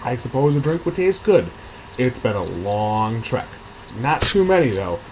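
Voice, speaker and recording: A man voicing a cautious, dutiful knight in a storybook, measured and sensible. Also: mid-range voice, sing-song, background noise.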